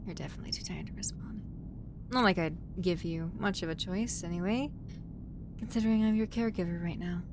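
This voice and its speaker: A woman playing a caring human medic on an alien spaceship in a roleplay audio drama, with a gentle, hesitant, fussing manner. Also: brisk, lightly acted. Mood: sleepy, affectionate. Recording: noisy audio with low rumble.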